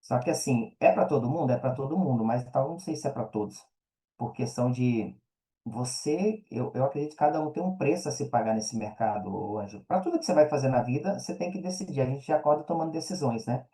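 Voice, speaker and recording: A Brazilian man with a warm, dark-toned voice chats as a podcast guest. His delivery is colloquial and earnest. He often repeats phrases to drive them home. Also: medium pitch, lively intonation, fast. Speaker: podcast guest, affiliate marketer and mentor. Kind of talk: casual podcast conversation.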